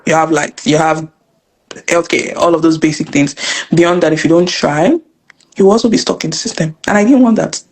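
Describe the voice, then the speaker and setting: Fast-talking Nigerian woman. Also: deep voice. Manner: fast, earnest, animated storytelling with repeated phrases. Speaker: guest, financial educator and business coach. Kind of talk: casual interview conversation.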